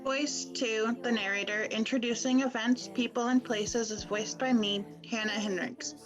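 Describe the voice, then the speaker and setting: A woman's voice: on the high side, fairly even intonation, moderate pace, music in the background, close to an everyday voice, rough recording. A woman acting as the narrator of a radio play, reading scripted scene-setting narration. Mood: neutral.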